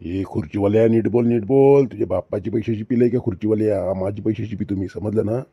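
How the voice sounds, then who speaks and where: A man's voice: on the low side, a little fast, mellow, breathy edge, no room sound. A man voicing a drunken, bullying male village strongman who talks in slurred, menacing repeats. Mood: swaggering threat. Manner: swaggering, menacing, slurred repetition.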